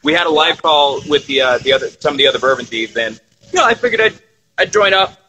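A man in his thirties, co-host of a whiskey review show, chatting in a laid-back, halting way from a moving boat, phone held at arm's length. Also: fairly high pitch, expressive, pushed and bright, husky, low-quality recording. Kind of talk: casual tasting chat.

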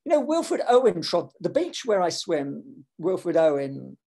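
Gravelly, husky older man on a video call. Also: high-pitched, a little slow. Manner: animated, digressive, many restarts. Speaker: author in panel conversation. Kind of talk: reflective literary conversation. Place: home room with shelves.

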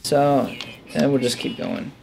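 A man's voice: mid-range voice, lively intonation, a little slow, gravelly, a little mellow, a little hiss or hum. A man giving casual, offhand gameplay commentary, musing aloud to himself into a headset mic. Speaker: gaming let's player. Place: indoors at a desk.

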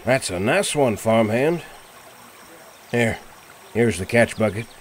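A man playing a gruff, teasing country fellow in a roleplay audio, grumbling in a folksy way. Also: medium pitch, animated, slow, noisy background.

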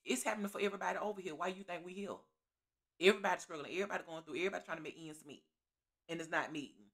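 A middle-aged woman telling a story in her living room in a sassy, animated, preachy way, laughing often, into a webcam. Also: on the low side, rapid-fire. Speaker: storytelling vlogger. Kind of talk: casual storytime vlog. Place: living room at home, seated on a couch.

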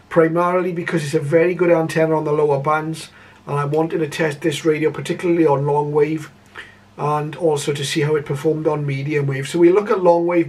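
An older man introducing a radio hobby video casually, in a measured, hesitant way, in a room at home. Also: a little high, darkish tone. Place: indoor room full of radio equipment. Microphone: camera a short distance away. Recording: slightly distant camera microphone.

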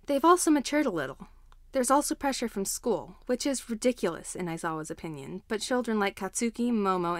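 Female story narrator, medium pitch, expressive scripted reading in a dry, echo-free space. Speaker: fan fiction narrator.

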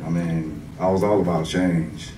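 A nervous man thanks people from a church stage, hesitant and slow. His voice is very deep. The sound is distant and echoey with noise. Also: fairly even intonation, over a noisy crowd. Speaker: program graduate. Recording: echoey hall from far off, noise.

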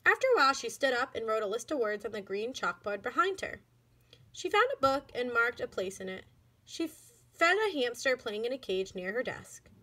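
A woman narrates a children's story as a teacher would to pupils. Her voice is fairly high with animated swings in pitch, and her delivery is expressive and deliberate. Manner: expressive, deliberate read-aloud. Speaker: elementary school teacher reading aloud.

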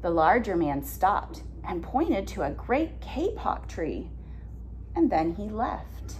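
A woman in her thirties reading a picture book aloud as a teacher, deliberate and expressive, explaining simply. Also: medium pitch, slight background noise. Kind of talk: children's read-aloud with simple questions. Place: classroom, indoors.

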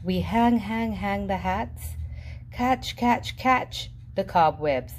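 A woman reading a children's picture book aloud in a lively, sing-song storybook voice with playful jumps in pitch. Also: slow, slight background noise. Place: indoors at a table, reading an open book. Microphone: camera above the book, reader unseen.

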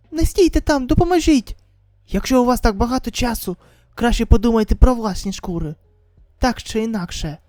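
A woman's voice: on the high side, mellow, no echo, studio quality. A woman reading a manga as a dramatic voice-over. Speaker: voice-over reader.

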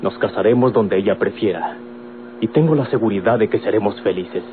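Man doing a defiant mexican son in love, earnest lowish voice, noisy phone-like old radio drama. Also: a little fast, natural voice. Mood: earnest, resolute. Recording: old tinny radio recording, noisy hum.

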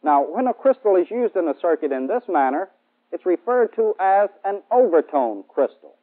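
A man's voice: high-pitched, sing-song, normal speed, narrow, tinny audio. An American man in his thirties giving a formal, methodical lecture as a military instructor in a 1960s training film. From United States. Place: indoor studio set. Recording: band-limited old 1960s film soundtrack.

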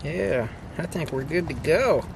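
A man in his thirties chats to the camera from inside a tent at night, casual and quick to exclaim. He cracks jokes as he goes. Wind and outdoor noise come through clearly behind him. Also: fairly high pitch. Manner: excitable, exclaiming, joking. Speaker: camping vlogger. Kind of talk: casual solo vlog narration.

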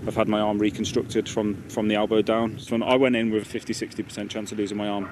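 A man with a lowish voice gives slow, candid personal testimony. Boat engines and water noise are clearly audible around him. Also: level tone. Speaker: military veteran, team member.

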